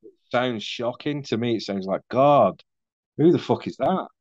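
A man in his forties with a softened Mancunian accent, chatting casually and self-deprecatingly as a podcast guest over a video call. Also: mid-range voice, moderate pace, no room sound, dull audio. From Manchester, England.

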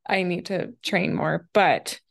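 Slightly raspy, chatty woman podcaster. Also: lowish voice, slow pace. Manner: chatty, filler-heavy with frequent "like". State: slightly raspy, winded from running. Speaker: podcast host. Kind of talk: casual solo podcast monologue.

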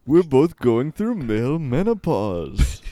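Deadpan male comic, slow unhurried delivery, dry close sound. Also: medium pitch, no echo. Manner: deadpan, mock-serious. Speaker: comedy musician guest. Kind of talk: absurd comedic banter.

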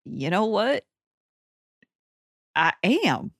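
A woman's voice: mid-range voice, very animated, extremely slow, fairly bright tone, no room sound, clean studio sound. A woman taking part in a tabletop game as a player, chatting and improvising role-play casually.

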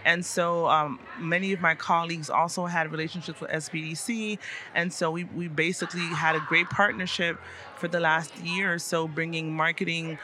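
A woman's voice: fairly low pitch, steady tone, normal speed. A young woman from South Florida giving earnest, articulate, conversational answers as an interview guest. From South Florida, United States. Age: young adult. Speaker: interview guest, city commissioner and small business owner. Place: conference venue at a hotel. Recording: faint background noise on location.

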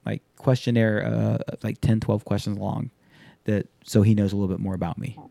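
Man, 30s, podcast host; casual, profane, animated storyteller; mellow tone, dry close recording. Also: lowish voice, normal speed, creaky, no echo. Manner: loose, profane, animated storyteller acting out quotes. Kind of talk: casual chat.

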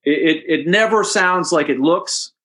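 A hockey play-by-play announcer answering interview questions in a relaxed, anecdotal way, chatty and amused. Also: male voice, high-pitched, moderate pace. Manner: chatty storyteller, amused, with restarts and asides.

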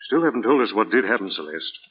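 An actor playing a composed, authoritative gentleman investigator, reassuring and inquisitive, in 1940s radio style. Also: lowish voice, big pitch swings, fast, slightly rough. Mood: calm, inquisitive. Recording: dull lo-fi 1940s radio recording.

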